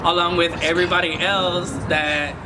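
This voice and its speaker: Laughing, stammering man, high voice. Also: level tone, normal speed, distant mic, noisy background. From United States. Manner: laughs often, repeats words as he starts sentences. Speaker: guest, flight attendant trainee. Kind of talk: casual chat.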